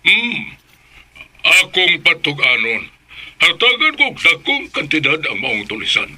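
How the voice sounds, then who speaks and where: A man's voice: a little high, very animated, unhurried, sharp tone, full character voice. A man playing a determined, upright father in a Filipino radio drama, with a Cebuano accent. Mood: resolute.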